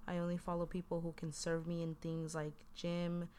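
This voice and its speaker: A woman with a low voice chatting casually as a podcast guest, recounting an earlier conversation. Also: monotone, moderate pace, dark tone, a little hiss or hum.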